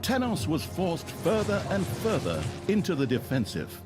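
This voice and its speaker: The lightly pitched, grandly ironic narrator one hears recounting battles in a comic fantasy game, over loud music. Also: male voice, huge pitch swings, normal speed. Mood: mock-epic, dramatic. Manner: wry, pompous, tongue-in-cheek. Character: wry, mock-epic male storyteller narrator of a fantasy game. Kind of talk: mock-epic scripted game narration.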